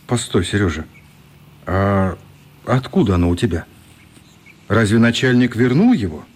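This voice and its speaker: An actor playing a kindly, curious grown man in a radio play, talking informally and gently probing. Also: lowish voice, lively intonation, normal speed, slightly rough.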